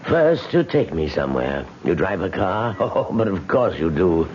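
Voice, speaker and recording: A man's voice: deep voice, sing-song, moderate pace, a little mellow. An actor playing a suave, enigmatic, wealthy old man with formal, precise speech in an old radio drama. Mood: amused, assured. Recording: noisy, dull old radio recording.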